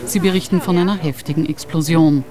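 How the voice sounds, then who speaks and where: A man's voice: on the high side, steady tone, a little fast, dark tone, natural voice. A man delivering a news report about an emergency within a radio drama. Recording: clear noise of emergency sirens. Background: sirens, vehicles.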